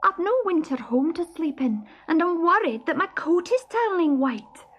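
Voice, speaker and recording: A woman's voice: very high voice, animated, moderate pace, dull audio, voice pushed up. A woman doing a fretful little stoat boy. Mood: worried. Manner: anxious, fretful, exclaiming. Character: small worried young male stoat.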